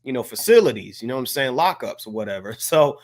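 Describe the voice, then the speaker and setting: A man chatting casually as a podcast co-host in a slangy, halting way, circling around his phrases. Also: medium pitch, expressive. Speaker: hip-hop podcast co-host. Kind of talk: casual chat.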